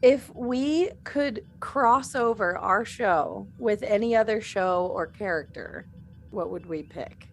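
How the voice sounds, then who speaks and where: A woman's voice: mid-range voice, slow pace, soft background music. A middle-aged woman in a casual panel chat as a cast member answering fan questions, giggly and self-deprecating.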